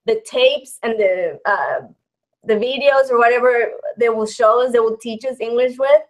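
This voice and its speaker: A woman's voice: a little high, normal speed, rough recording. A woman in her thirties from Mexico, with a Mexican accent tinged with Texan, chatting casually as host and reading out viewer questions. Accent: Mexican with some Texan. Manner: chatty, laughs often, restarts phrases. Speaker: channel host.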